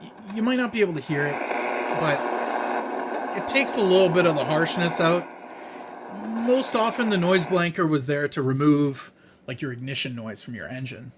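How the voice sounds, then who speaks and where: A man's voice: on the high side, moderate pace, heavy background noise. A man presenting a hands-on gadget demonstration in a casual, unscripted way, explaining things in plain, informal words.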